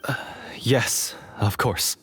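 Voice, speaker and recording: A man voicing a weary, contrite hunter in a video game, earnest and halting, with an honorable temper. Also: fairly bright tone, slight background noise.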